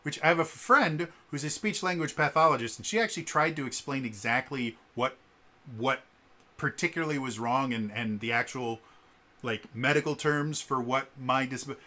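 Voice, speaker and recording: A man with a stutter giving casual spoken commentary as a vlogger, halting with many fillers and repeated sounds. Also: medium pitch, sharp tone.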